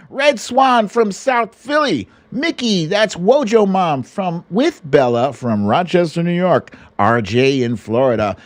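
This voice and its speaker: A man hosting a casual livestream, talking at an unhurried pace with big swings in pitch. His delivery is playful and sing-song, and the sound is close and dry. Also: dry recording. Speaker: livestream host. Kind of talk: casual livestream chat, reading out viewer greetings.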